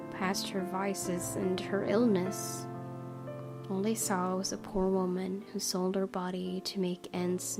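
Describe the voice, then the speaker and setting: A woman reading a visual novel aloud as a let's play host, in measured, earnest dramatic narration. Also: medium pitch, a little mellow.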